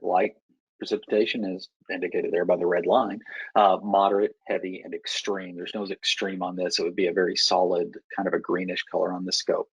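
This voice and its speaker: An American man working as an air traffic controller, explaining procedures in a measured, instructive way with deliberate pauses. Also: sits low, slightly rough, no echo, muffled recording. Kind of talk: explanatory Q&A talk to pilots.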